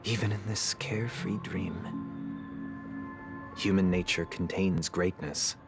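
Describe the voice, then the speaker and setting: A solemn young game character with a deep voice. He reasons in a measured, persuasive way, cynical about human nature. Prominent music plays behind him. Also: fairly even intonation. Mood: coolly resolute. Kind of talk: dramatic video game voice acting.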